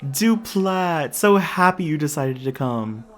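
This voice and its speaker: A man's voice: on the high side, expressive, slow pace. A man playing a smug, officious cult agent in an audio roleplay, wordy and falsely polite. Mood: gushing. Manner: pompous, wordy, mock-polite. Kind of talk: ASMR audio roleplay acting.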